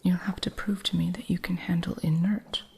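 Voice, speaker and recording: Soft-spoken woman, low whispered delivery with a gravelly grain, unhurried, gently instructive with a playful strictness. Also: steady tone. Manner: gentle, teaching, teasingly strict with an apprentice. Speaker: ASMR artist. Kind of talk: whispered ASMR roleplay as a witch shopkeeper.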